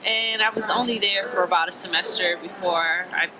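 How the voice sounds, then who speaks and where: A woman's voice: medium pitch, fairly even intonation, normal speed, heavy background noise. A 27-year-old woman speaking in a plain, matter-of-fact way in a documentary interview. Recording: loud background music.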